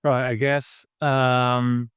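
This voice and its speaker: A man from Malaysia telling his story as a podcast guest, earnest and halting, with many fillers and restarts. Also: mid-range voice, flat delivery, clean tone, no room sound, studio quality. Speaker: podcast guest, business founder. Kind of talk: casual interview storytelling.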